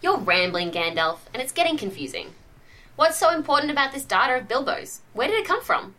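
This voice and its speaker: A woman's voice: high-pitched, sing-song, brisk, sharp tone, exaggerated voice. A woman playing a sarcastic, exasperated young hobbit postgraduate in an amateur comic pantomime.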